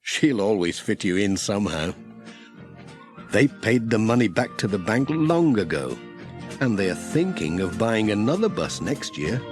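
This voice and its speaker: A man's voice: fairly low pitch, big pitch swings, a little slow, over music. A man with a British accent reading a children's story as a scripted audiobook narrator.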